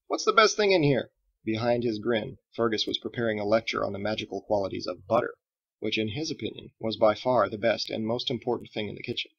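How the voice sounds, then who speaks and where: A man narrates a story like an audiobook, in a low voice with a gravelly creak. The delivery is scripted and expressive, and the recording is slightly dull. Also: fast talker. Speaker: author reading his own book.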